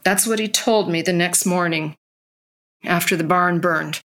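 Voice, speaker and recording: A woman's voice: on the low side, a little slow, noisy background. An actress playing a wistful woman who narrates memories of her lost love in a reflective, plain-spoken way. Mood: somber. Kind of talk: first-person dramatic storytelling.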